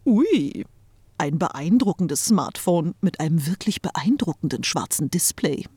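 A saucy, teasing female confidante from a comic audio play, acted by a woman. She coos and flirts with theatrical flair, her slightly husky voice swinging widely in pitch. The sound is close and dry. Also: sing-song, unhurried, dark tone, no echo, full character voice. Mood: amused, mocking. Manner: playful, cooing, theatrical, uses pet names. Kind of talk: scripted comic audio drama.

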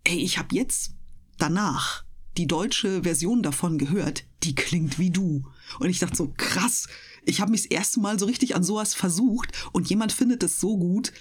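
Husky, lower-voiced woman in casual conversation. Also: moderate pace. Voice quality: trained, clearly articulated speech. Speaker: host of an audio channel and interview guest, professional speaker. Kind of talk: casual interview chat.

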